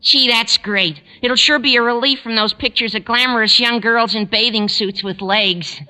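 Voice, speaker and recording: An actor playing an eager, awkward, lovestruck high school boy with a squeaky adolescent voice in a 1940s radio sitcom. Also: steady tone, normal speed, sharp tone, a little hiss or hum, heavily acted, low-quality recording. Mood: eager chatter. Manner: gushing, hesitant, earnest. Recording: old 1940s radio broadcast.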